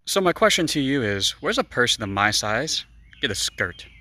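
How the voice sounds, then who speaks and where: An American man speaking plainly and directly to his viewers in short, measured phrases. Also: medium pitch, big pitch swings, bright, forceful tone. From American Bible Belt. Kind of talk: direct address to camera.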